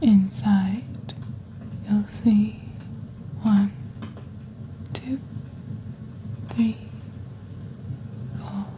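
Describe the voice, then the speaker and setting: A young woman acts out a composed, mysterious witch on a shopping channel. The voice is very dark and mellow, soft and half-whispered close to the mic. She presents in slow, deliberate ASMR phrases over faint background ambience. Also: medium pitch, steady tone, a little hiss or hum, low-quality recording. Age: young adult. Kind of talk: ASMR roleplay, scripted product presentation.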